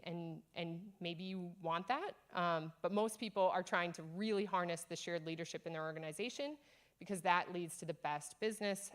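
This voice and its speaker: Energetic woman giving a conference talk. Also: medium pitch. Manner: energetic, earnest, encouraging. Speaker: conference speaker. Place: conference stage.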